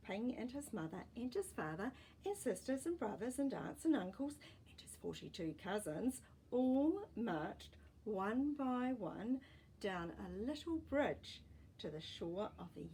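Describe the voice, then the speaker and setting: Female storyteller for children's picture books, dark mellow timbre, mid pitch, expressive and deliberate, slight background noise. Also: low-quality recording. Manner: deliberate, expressive phrasing for young listeners. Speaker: storytime host reading a children's book. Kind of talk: read-aloud children's storytelling with asides.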